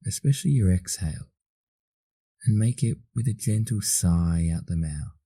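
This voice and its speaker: Very deep, dark-voiced Australian man guiding meditation. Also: expressive, breathy edge. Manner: slow, soothing, with long pauses. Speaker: guided meditation instructor. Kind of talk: guided meditation narration.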